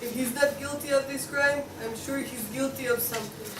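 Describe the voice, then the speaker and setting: Snappy, suspicious pig juror, played by a woman, very high voice, echoey room. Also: steady tone, fairly quick, lightly acted. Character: bossy, suspicious pig juror who distrusts wolves. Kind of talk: student table read of a comic play. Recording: echoey room, distant mic, faint noise.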